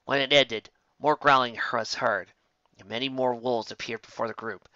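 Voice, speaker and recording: A man's voice: medium pitch, fairly even intonation, normal speed, sharp tone, no room sound. A man narrating a fan fiction story expressively, stumbling over words now and then, on a dull lo-fi recording.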